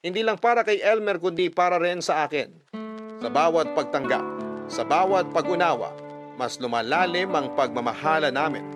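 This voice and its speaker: A man's voice: fairly high pitch, expressive, normal speed, music in the background. A man narrating a sentimental first-person love story for radio in an earnest, reflective manner. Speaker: narrator reading a letter sender's love story. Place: dry studio with soft music behind.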